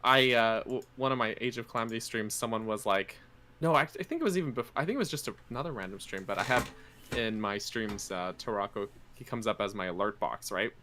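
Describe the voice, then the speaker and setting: A man's voice: lowish voice, lively intonation, fast, pushed and bright, a little hiss or hum. A man in his twenties, a podcast guest and streamer, chatting casually over an online call and laughing often. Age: 20s. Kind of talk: casual chat.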